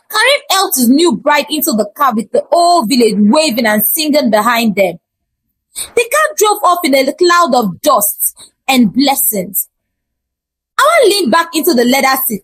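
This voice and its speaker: A woman telling a scripted folktale in an expressive, theatrical and humorous storytelling style. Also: high-pitched, rough recording.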